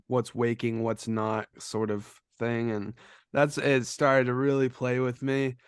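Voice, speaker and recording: A man's voice: mid-range voice, completely flat delivery, slow pace, clear, resonant tone, dry recording, clean studio sound. A man co-hosting a casual film discussion in a hesitant, laid-back way. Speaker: film podcast co-host.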